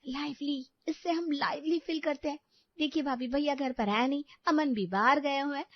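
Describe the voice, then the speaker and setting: A woman plays a bubbly young sister-in-law from a cartoon, chatty, caring and eager. Her voice is high, captured dry with a slightly dull, lo-fi tone. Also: moderate pace, no echo. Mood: playful.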